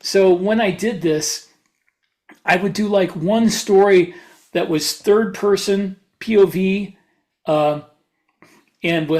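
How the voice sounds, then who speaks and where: A man's voice: sits high, a little mellow. A man co-hosting a podcast, talking casually as a writer giving advice. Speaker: podcast co-host, fiction writer. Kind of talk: casual chat.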